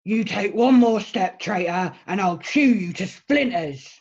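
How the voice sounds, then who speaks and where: A woman playing a gruff, loyal, suspicious talking male beaver in an amateur screenplay readthrough. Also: mid-range voice, expressive, a little slow, a little mellow, dull audio. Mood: suspicious, defiant.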